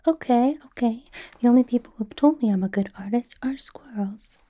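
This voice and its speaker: Casting: woman to play chatty young superheroine interrogator for ASMR roleplay, high but soft and mellow, mostly whispered, playfully suspicious and rambling. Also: moderate pace, natural voice. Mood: bashfully boastful.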